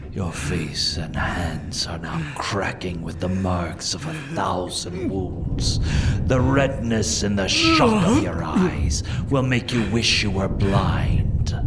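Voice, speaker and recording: A man's voice: mid-range voice, heavy background noise. A man playing the Red Death, a merciless masked spirit of plague, speaking in solemn, ominous pronouncements in a gothic audio drama. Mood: cold menace, then cruel, gloating. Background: dramatic music.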